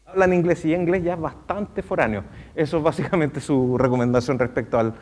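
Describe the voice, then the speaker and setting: A man hosting a question session, asking halting questions in English and translating fluently. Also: on the high side, darkish tone, a little hiss or hum. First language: Spanish. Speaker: moderator and interpreter. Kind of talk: hosting a bilingual Q&A. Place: university auditorium.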